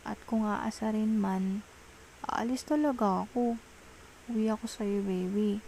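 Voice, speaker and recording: Soft, mellow Filipino girlfriend, acted by a woman. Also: medium pitch, unhurried, vocal fry, slight background noise. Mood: pleading, reassuring. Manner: soft, hesitant, coaxing. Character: apologetic young girlfriend, tender, pleading, soothing her jealous partner. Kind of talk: intimate ASMR girlfriend roleplay.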